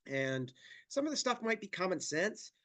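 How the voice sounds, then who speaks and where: A man presenting a marketing webinar while fighting a cold, sounding nasal and talking haltingly with many restarts. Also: high voice, sing-song, pushed and bright. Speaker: company president giving a presentation.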